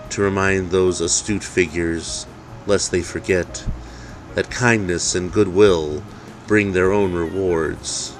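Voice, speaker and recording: Guy reading a spooky christmas story, really deep and slow, dramatic phrasing, bit of background noise. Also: rough recording. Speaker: author reading own story. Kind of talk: scripted dark Christmas storytelling.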